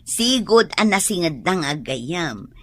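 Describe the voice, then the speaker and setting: An actress with an Ilocano accent playing a concerned, gossipy village woman in a comic radio drama, expressive and questioning. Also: fairly low pitch, measured pace, no room sound. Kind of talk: comedic radio drama acting.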